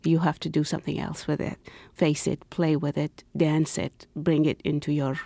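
A woman from Iran, a professor and poet, speaking as an interview guest in an expressive way, dropping to a whisper for emphasis. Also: sits low, moderate pace, no echo. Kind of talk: interview conversation.

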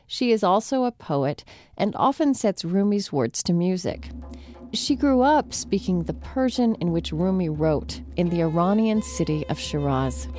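A woman hosting an interview, reading a scripted introduction and then asking measured, thoughtful questions. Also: lowish voice, lively intonation, dark tone, soft background music. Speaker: radio host.

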